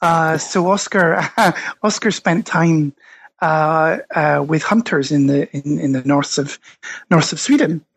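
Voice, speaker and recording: A man's voice: on the high side, slow, creaky, slightly rough. A Scottish man being interviewed on a podcast, explaining his research thoughtfully with many fillers and restarts. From Scotland. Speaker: guest author and researcher.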